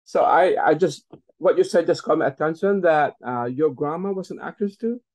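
A middle-aged man interviews a guest over a video call, his voice a touch higher than most men's. He asks long, measured questions. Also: darkish tone. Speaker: entertainment journalist, interviewer.

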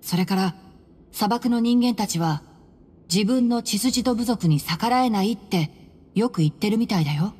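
A woman voicing a calm, clever young male scholar in an anime game, composed and articulate as he explains things. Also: medium pitch, moderate pace, breathy edge, in a large hall, close to an everyday voice. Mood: calm, informative.

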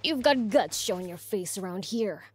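A woman's voice: mid-range voice, lively intonation, normal speed, lightly acted. A woman voicing a cocky young demon prince with a brash, blunt manner in a video game cutscene. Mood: cocky, defiant.

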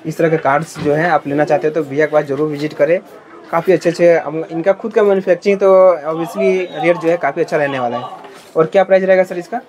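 A man hosts a shop-tour vlog in a market card shop. He talks quickly in a slightly raised voice. He keeps praising the goods and repeating prices for his viewers. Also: a little high, fairly even intonation, a crowd in the background. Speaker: market vlogger.

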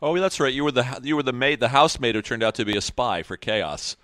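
A man's voice: mid-range voice, lively intonation, fast, a bit bright, no room sound, clean studio sound. A man hosting a podcast interview, asking eager questions packed with facts. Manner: eager, fact-filled questions. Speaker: podcast host. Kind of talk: interview chat.

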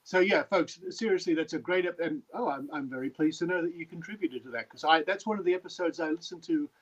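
Middle-aged man, genial host, slightly higher voice, dull lo-fi video call audio. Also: fast. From Australia. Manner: genial and wordy, wraps up with thanks. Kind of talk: hosting an online talk. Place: home study with bookshelves.

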